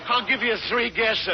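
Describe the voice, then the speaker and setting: An actor playing a sickly, giggly male dwarf in a 1940s radio play, halting and bashful. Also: sits high, vocal fry, full character voice. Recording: dull old radio broadcast with hiss.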